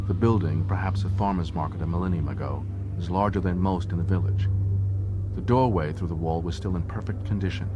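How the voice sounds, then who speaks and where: A man's voice: low voice, highs cut off. A man narrating an audiobook in a scripted, dramatic storytelling style.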